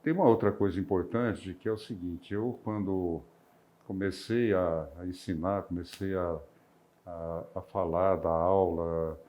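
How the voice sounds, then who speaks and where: Deep-voiced older Brazilian doctor, hesitant. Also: male voice, fairly even intonation, a little slow, warm tone. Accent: rural São Paulo interior, near Minas Gerais. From interior of São Paulo state, Brazil. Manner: hesitant, with frequent restarts and filler sounds. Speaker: retired physician. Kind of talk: reflective personal recollection.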